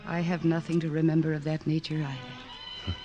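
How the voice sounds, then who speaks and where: A woman's voice: low-pitched, fairly even intonation, moderate pace, mellow, natural voice. An actress playing an earnest, devoted woman psychoanalyst in a classic American radio drama, with an insistent, clinical manner. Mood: tender, flustered. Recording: restored old radio broadcast, orchestral music.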